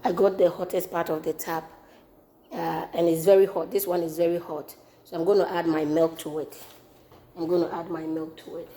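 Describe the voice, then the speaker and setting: A woman with a Ghanaian accent demonstrating a home recipe in her kitchen, relaxed and repeating herself as she works. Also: lowish voice, moderate pace. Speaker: home cooking vlogger. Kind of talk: casual cooking demonstration.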